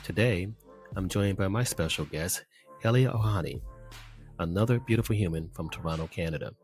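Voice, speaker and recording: A man's voice: low voice, lively intonation, fairly quick, a little mellow, faint music behind. A middle-aged American man hosting a podcast interview, upbeat and effusive in a casual conversational way. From United States. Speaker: podcast host.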